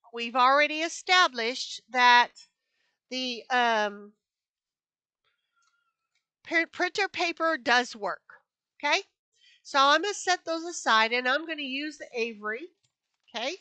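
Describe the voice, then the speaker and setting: Woman craft host, high and bright, unhurried, a little stuffed up from allergies; dry close sound. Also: dry recording. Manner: chatty, repeats herself, many fillers. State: sniffly from allergies. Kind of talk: casual crafting tutorial. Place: home craft room.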